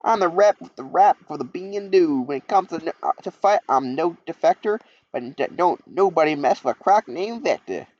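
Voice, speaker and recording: A man's voice: fairly high pitch, animated, moderate pace, few pauses, a little mellow, voice pushed up. A man voicing a brash young male crocodile who talks in rhyming street slang, in a dull lo-fi recording.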